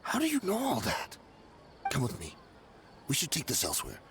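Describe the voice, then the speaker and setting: A man's voice: medium pitch, huge pitch swings, moderate pace, a bit bright, a little hiss or hum. A man voicing a shifty, nervous smuggler in a video game, evasive and always bargaining. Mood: wary, guarded. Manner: wheedling, evasive.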